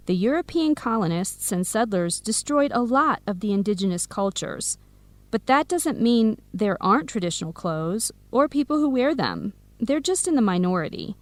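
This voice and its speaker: An actress with an American accent playing a sassy, protective best friend from Philadelphia in a radio drama, with an emphatic delivery. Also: female voice, a little high, dry recording. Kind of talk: scripted radio drama dialogue for English learners.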